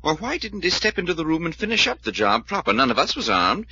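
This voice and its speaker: An actor playing an eager, proper English gentleman sidekick in a 1940s radio drama, with a British accent and polite exclamations. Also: fairly high pitch, very animated, fast talker, husky, exaggerated voice. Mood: earnest. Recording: muffled old radio recording.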